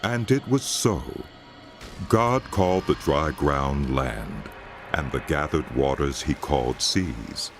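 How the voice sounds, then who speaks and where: A man with an extremely low voice narrates scripture as a solemn drama. His delivery is slow and deliberate, with sweeping rises and falls, set over clearly audible music. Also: lively intonation.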